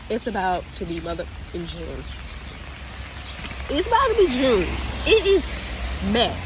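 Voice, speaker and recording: A woman's voice: on the high side, background noise. Chatty congested young woman on the street. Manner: chatty, exasperated, drawn-out complaints. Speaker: vlogger. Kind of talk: casual vlog to phone camera. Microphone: phone camera.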